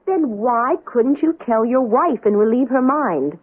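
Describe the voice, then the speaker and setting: An actress playing an earnest, idealistic doctor in a 1970s radio drama. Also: female voice, on the high side, lively intonation, measured pace, husky, no echo. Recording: tinny dry vintage radio recording.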